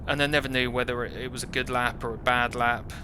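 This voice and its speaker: A man's voice: little pitch movement, fast. A British man giving informal first-person commentary on his own kart race, in a wry, self-deprecating manner. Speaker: kart racer narrating own race footage. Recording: clear engine rumble behind the voice.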